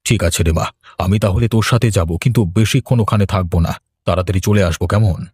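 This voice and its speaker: A timid village dad in middle age, played by a man for a horror cartoon. He has a low, husky voice and talks quickly and fretfully, fond but easily scared. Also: a little mellow, no echo, studio quality. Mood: reluctant. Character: timid, superstitious village father, middle-aged, fond of his daughter. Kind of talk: animated horror story acting.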